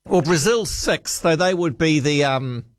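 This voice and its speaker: A man's voice: fairly high pitch, lively intonation, unhurried, no echo. A male New radio host chatting jovially with a caller and thinking aloud as he talks. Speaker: radio talk show host. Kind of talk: casual phone-in chat.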